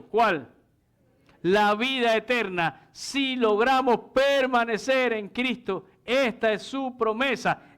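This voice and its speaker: High-pitched, clear-voiced male preacher. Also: a bit bright. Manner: didactic, checks in with rhetorical questions. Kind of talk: sermon with scripture exposition and anecdotes.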